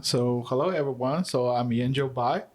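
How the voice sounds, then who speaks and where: A man's voice: medium pitch, normal speed. A man from China, a guest architect, introducing himself with brief, good-humored remarks.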